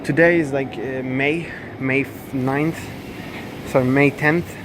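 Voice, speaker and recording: A man's voice: mid-range voice, fairly even intonation, background noise. Twenties male vlogger, Turkish accent, hesitant casual English, recorded on a phone in a busy supermarket. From Turkey. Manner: halting, many fillers and self-corrections. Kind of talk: casual walk-through vlog. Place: large supermarket indoors. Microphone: handheld phone camera. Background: store ambience.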